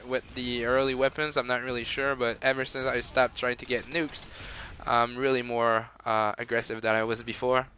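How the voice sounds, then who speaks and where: Bright-voiced French Canadian gamer. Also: male voice, mid-range voice, level tone, moderate pace, hardly any pauses, a little hiss or hum. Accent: Quebec French. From Montreal, Canada. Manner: rambling and chatty, with frequent fillers and laughs. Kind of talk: gameplay commentary.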